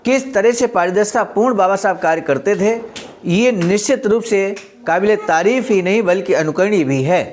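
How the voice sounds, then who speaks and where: A presenter reads a speech aloud and explains it in a didactic way, repeating points for emphasis. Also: medium pitch.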